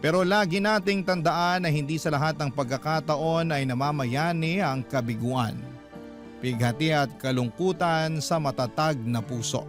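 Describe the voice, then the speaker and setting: Prominent instrumental music runs under a man's somewhat high voice. He narrates as a radio host, measured and reflective, telling a scripted story with drama. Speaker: radio show host and narrator reading a listener's letter. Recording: loud music bed under voice.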